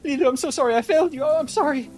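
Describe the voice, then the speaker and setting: A man's voice: very high-pitched, racing, a little mellow, voice pushed up. A man voicing a timid, frantic young woman, a devout believer who stammers and pleads. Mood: distraught, apologetic.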